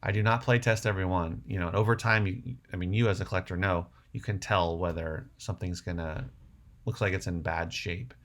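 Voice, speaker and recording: Low-pitched man with creaky voice, chatting casually. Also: fairly even intonation, a little fast, a bit bright. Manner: rambling, thinking aloud. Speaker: livestream host. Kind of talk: casual livestream chat answering viewer questions.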